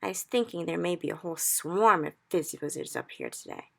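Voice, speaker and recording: A woman's voice: lowish voice, huge pitch swings, a little fast, creaky, full character voice. A woman voicing a big, friendly male giant who mangles his grammar and cries out in anguish over a caught nightmare. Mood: earnest. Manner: ungrammatical made-up words, loud anguished exclamations.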